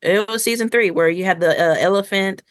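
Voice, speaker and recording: A woman chats as a podcast co-host in a relaxed review discussion. Her pitch sits in the middle, and she talks like someone spinning a story. The recording is dry, without room sound. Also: a little fast, no echo. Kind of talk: casual review chat.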